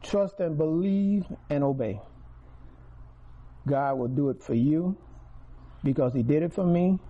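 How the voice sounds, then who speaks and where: A man's voice: a little high, measured pace, narrow, tinny audio. Emphatic sixty-something man preaching. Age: 60s. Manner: emphatic, repeats key words, rises in volume on stressed phrases. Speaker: speaker giving testimony to a congregation. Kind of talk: preaching testimony.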